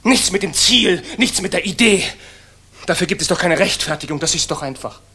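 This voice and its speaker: An actor playing an idealistic, disillusioned young German officer in a 1960s radio play, earnest, halting and intense. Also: male voice, high-pitched, expressive, sharp tone, slightly rough, full character voice. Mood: anguished, indignant.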